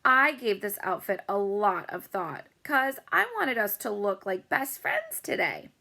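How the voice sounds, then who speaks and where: A woman voicing a bossy, pushy little girl in a storybook read-aloud, loud and eager. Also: mid-range voice, big pitch swings, measured pace, gravelly, exaggerated voice.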